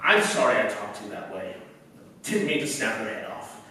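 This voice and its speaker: Guy doing cranky brusque neighbor, old radio drama, sharp voice, picked up from across a echoey room. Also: lowish voice, very animated, moderate pace, far from the mic. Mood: apologetic, earnest. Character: ornery, impatient, brusque neighbor man with shifty agenda. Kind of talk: 1940s radio drama acting on stage. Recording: echoey stage from afar, faint noise.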